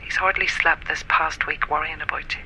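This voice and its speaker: A middle-aged mother played by a woman in a radio play, worried and nagging. Her insistent, reproachful voice comes through a telephone, very piercing and bright, with noise around it. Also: sits low, steady tone, a little fast, poor audio.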